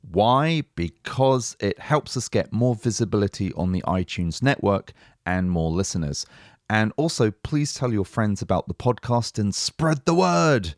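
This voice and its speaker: A man's voice: lowish voice, expressive, measured pace, dry recording, clean studio sound. A man hosting a language-learning podcast, upbeat and chatty, laughing often. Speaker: podcast host. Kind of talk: casual podcast chat.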